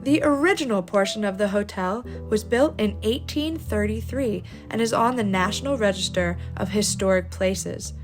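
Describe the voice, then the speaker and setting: A woman's voice: mid-range voice, moderate pace, hardly any pauses. A woman hosting a travel vlog, narrating in an upbeat, playful and theatrical way. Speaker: travel show host. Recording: music behind the voice. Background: background music.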